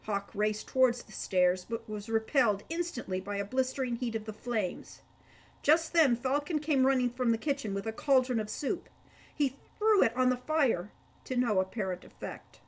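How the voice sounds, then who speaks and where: A woman's voice: a little high, moderate pace. A woman reading a scripted story aloud as narrator, with a dramatic storytelling delivery. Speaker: author reading own audiobook chapter.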